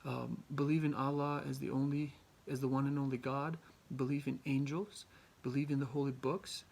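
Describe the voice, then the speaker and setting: A young man from Albania giving a class presentation, halting often with ums and restarts. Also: mid-range voice, little pitch movement, vocal fry, darkish tone. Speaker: student presenter.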